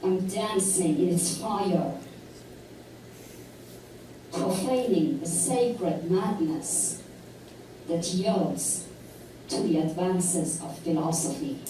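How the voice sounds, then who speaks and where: A woman reads her poetry slowly and deliberately, in a mellow, low voice. The sound is roomy and distant, as if caught from a hall microphone. A soft hum of room noise sits underneath. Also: lively intonation. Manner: measured, deliberate recitation. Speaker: poet at a public reading. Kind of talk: slow recited poetry reading. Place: lecture hall. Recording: echoey hall, distant mic.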